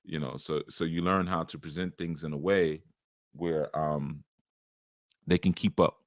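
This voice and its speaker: A low-voiced man hosting a live spiritual teaching show. He talks in a loose, conversational way, full of asides. The sound is close and dry, with no room around it. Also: dry recording. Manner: conversational, rambling, with many asides and chuckles.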